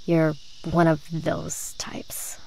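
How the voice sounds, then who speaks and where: A woman's voice: sits low, completely flat delivery, very slow, vocal fry, a little mellow, whispered, full character voice. A woman voicing a jaded, tough young woman in a visual novel, with dry, blunt sarcasm. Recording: noisy game stream audio.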